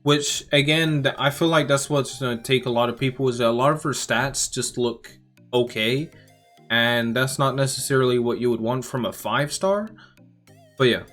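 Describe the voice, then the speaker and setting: A man giving casual game reaction commentary as a YouTuber, talking informally and rambling as he thinks out loud. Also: mid-range voice, normal speed. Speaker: gaming YouTuber. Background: music.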